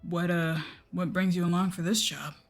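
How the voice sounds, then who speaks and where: A woman's voice: lowish voice, steady tone, moderate pace, soft background music, lightly acted. A woman voicing a nervous, easily offended young male inkling in a story, hesitant and defensive.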